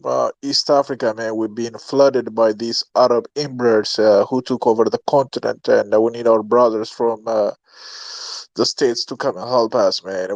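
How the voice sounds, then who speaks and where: Halting man calling in to a show. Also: medium pitch, a little mellow, no echo, lo-fi sound. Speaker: caller. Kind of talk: casual call-in chat.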